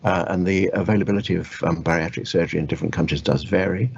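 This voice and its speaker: Older-style radio interviewer guy, low and croaky, careful roundabout talk, muffled recording. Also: fairly even intonation, fast. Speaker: radio health show host, doctor. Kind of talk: interview questioning.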